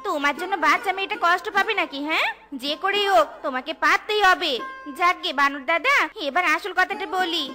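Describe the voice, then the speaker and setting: A bossy, money-hungry cartoon wife, extremely high and shrill. She scolds and orders people about in broad comic style, with music clearly behind her. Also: brisk, sharp tone. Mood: bossy scolding. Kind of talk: exaggerated Bengali comedy cartoon acting.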